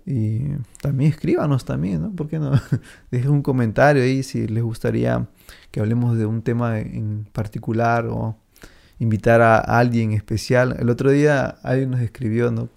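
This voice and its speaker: A man hosting a podcast, talking casually and rambling with many fillers. His voice is gravelly and mellow, and he laughs easily between thoughts. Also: medium pitch, steady tone, normal speed. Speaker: podcast host. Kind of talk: casual chat.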